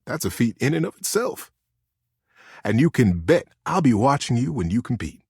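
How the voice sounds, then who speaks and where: Amiable bombastic crab shopkeeper, voiced by a man: fatherly storybook figure, hugely expressive pitch, deliberate and encouraging, dry recording. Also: gravelly, breathy edge, no room sound, exaggerated voice. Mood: proud, encouraging. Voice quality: booming. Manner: hearty, deliberate, kindly. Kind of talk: storybook character voice.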